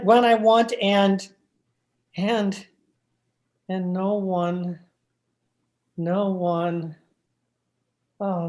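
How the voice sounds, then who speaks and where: A man playing a henpecked, scheming husband in an old-time radio horror drama, coaxing in a deliberate, repetitive way. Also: high voice. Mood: defiant.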